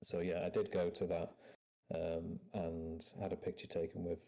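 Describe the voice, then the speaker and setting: Deep, mellow man telling modest stories. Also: level tone, moderate pace, creaky, dull audio. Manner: modest, self-deprecating, hesitant with fillers. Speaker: podcast guest. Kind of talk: casual storytelling.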